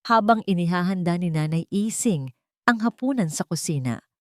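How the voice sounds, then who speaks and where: A woman's voice: on the low side, lively intonation, normal speed, dry recording, clean studio sound. A woman reading a scripted dramatic story as an audiobook narrator.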